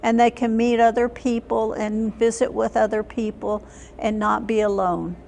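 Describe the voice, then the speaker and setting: An older woman giving plain, matter-of-fact conversational answers as an interview guest, sometimes halting. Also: on the high side, flat delivery, creaky, darkish tone, slight background noise. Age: older, senior. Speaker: interview guest, senior center member.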